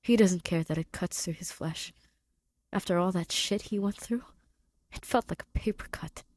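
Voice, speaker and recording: A woman's voice: on the low side, normal speed, darkish tone. A woman narrating a scripted story expressively as a reader of fan fiction.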